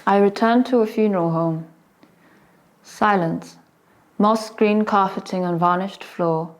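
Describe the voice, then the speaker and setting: A woman reciting poetry in short, measured phrases with deliberate pauses between them. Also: on the low side.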